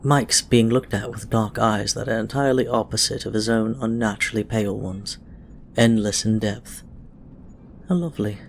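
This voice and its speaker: Low-pitched man narrating a story, noisy recording. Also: steady tone, moderate pace. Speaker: audiobook-style reader of fan fiction. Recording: clear background noise.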